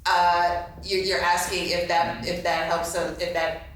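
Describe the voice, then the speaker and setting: A woman's voice: fairly low pitch, flat delivery, measured pace, a bit bright. A woman moderating a panel and answering audience questions in spontaneous talk. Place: convention meeting room. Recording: echoey room from far off, faint noise.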